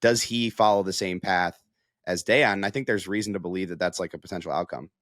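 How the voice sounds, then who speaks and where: A man's voice: sits low, fairly even intonation, fast talker, fairly bright tone, no echo, clean studio sound. A man with an American accent giving casual sports analysis as a reporter, thinking aloud in a conversational way. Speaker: sports reporter, show guest.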